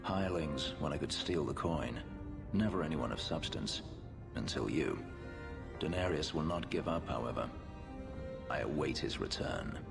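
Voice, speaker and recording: A brooding fugitive fighter with an extremely deep, gravel-edged voice. He speaks in terse, measured, formal lines as in a dramatic video game scene, with music playing under him. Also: lively intonation, exaggerated voice. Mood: brooding, guarded. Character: brooding male warrior, a fugitive on the run, guarded. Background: background music.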